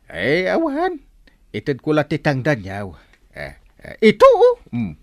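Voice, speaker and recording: A man with an Ilocano Filipino accent playing a brisk, generous village captain in a radio comedy drama, folksy and exclamatory. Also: fairly high pitch, wildly swooping pitch, vocal fry, dry recording, clean studio sound, exaggerated voice.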